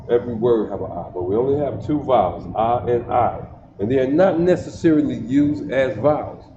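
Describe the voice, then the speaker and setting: A man teaching letter sounds, reciting syllables one by one and often stopping to check whether his listeners follow. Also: mid-range voice. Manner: repeats syllables and keeps checking "you see that?". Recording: echoey, dull, noisy livestream audio.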